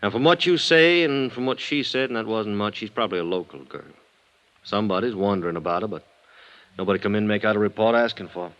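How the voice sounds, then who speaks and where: An actor playing a blunt, weary but decent police lieutenant in a 1950s radio crime drama, gruff and plain-spoken. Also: male voice, fairly low pitch, quick pace, a bit bright, close to an everyday voice. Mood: weary businesslike. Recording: vintage radio drama broadcast.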